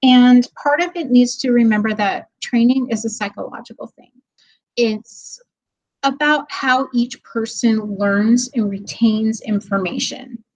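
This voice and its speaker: Female guest presenter, slightly high voice, friendly and chatty with fillers, remote call from a hallway. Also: a little slow, poor audio. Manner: friendly, laughs easily, many ums. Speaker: guest speaker. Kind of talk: webinar presentation. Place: office hallway.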